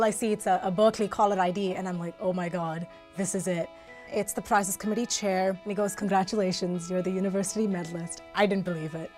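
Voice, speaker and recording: A young university student from Singapore recalling personal experiences in an interview, candid and reflective in a conversational way. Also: female voice, medium pitch. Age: young adult.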